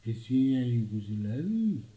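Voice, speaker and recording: A man's voice: mid-range voice, very animated, slow, dark tone, low-quality recording. A man performing sound poetry, chanting and repeating broken phrases that fade to murmurs.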